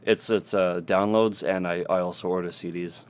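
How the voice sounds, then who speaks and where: A man's voice: deep voice, fairly even intonation, normal speed, smooth. A man in his twenties, a band member guesting on a podcast, speaking earnestly and with enthusiasm. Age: 20s. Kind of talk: casual interview chat.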